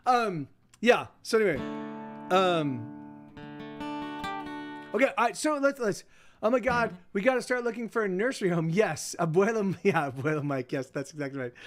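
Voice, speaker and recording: A man in his forties hosting a livestream, chatting casually with viewers in halting, self-interrupting sentences and frequent swearing. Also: sits high. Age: 40s. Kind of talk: rambling casual chat.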